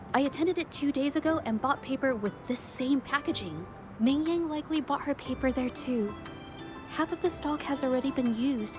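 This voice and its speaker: Woman playing a high-voiced, gentle young painter. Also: close to an everyday voice. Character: gentle, earnest young woman painter turned amateur investigator. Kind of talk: English video game voice acting.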